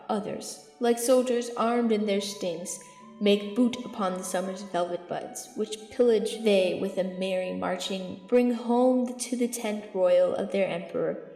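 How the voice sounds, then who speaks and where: A woman's voice: medium pitch, mellow, natural voice. Woman playing an eloquent archbishop, drenched in echo. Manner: declamatory, rhetorical. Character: eloquent, zealous archbishop counselling a king. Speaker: amateur actor in student audio drama. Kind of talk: Shakespearean verse acting. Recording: echoey hall reverb, music underneath.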